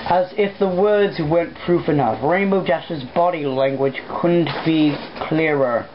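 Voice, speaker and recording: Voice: mid-range voice, a little slow. An amateur reading fan fiction aloud in a casual way, breaking off to make irreverent, sweary remarks about slip-ups. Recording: dull lo-fi home recording, background noise.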